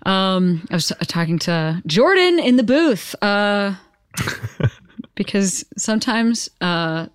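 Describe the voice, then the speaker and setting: A woman hosting a podcast, talking in a warm, effusive way with fillers. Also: medium pitch, big pitch swings, takes it slowly, smooth, no echo, studio quality. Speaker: podcast host. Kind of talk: casual interview chat.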